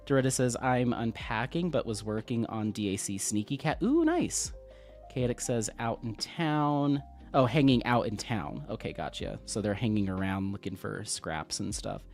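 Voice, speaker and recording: A man hosting a casual craft livestream, slightly sniffly, reading viewer comments aloud in a relaxed, friendly way at a desk microphone. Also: on the low side, normal speed, faint music behind. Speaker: livestream host. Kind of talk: casual live-stream chat, reading comments. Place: home craft room, at a desk.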